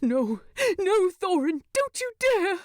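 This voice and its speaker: A warm-hearted, cheeky hobbit fellow from a fantasy tale, performed by a woman. The delivery is earnest and fond, swinging freely in pitch. The sound is dry and close. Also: big pitch swings, slow pace, dry recording, full character voice. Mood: anguished, pleading.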